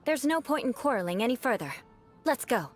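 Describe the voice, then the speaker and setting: Haughty aristocrat lady knight, formal and grand, high voice that swoops a lot, anime game. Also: sing-song, brisk, soft background music. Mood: composed. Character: haughty young noblewoman knight, formal, proud, vengeful. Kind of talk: anime video game voice acting.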